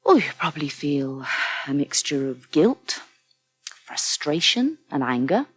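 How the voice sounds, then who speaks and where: Radio soap: supportive, plain-speaking counsellor woman, earnest and fierce, with a rough-edged animated voice. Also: low-pitched, unhurried, heavily acted. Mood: reassuring, earnest. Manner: earnest, plain-spoken, firm. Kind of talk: British radio soap acting.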